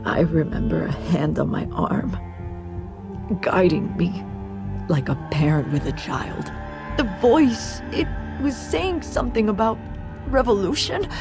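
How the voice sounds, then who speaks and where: An actress playing a shaken, guilt-ridden police sergeant in a video game, groggy, halting and pleading. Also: female voice, fairly low pitch, creaky. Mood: remorseful, halting. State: dazed, groggy. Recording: loud music under the voice.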